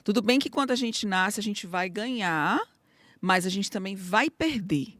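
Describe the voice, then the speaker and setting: A woman's voice: mid-range voice, expressive, a little slow, no echo. A middle-aged woman hosting a podcast interview in a casual, affirming way. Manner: warm, affirming interviewer, frequent "né". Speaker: podcast host.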